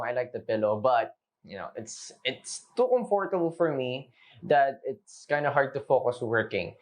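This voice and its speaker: A young Filipino man, a native Filipino speaker, giving an unscripted casual product review, loose and chatty with lots of fillers as he talks to viewers. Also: mid-range voice. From Philippines. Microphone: camera mic.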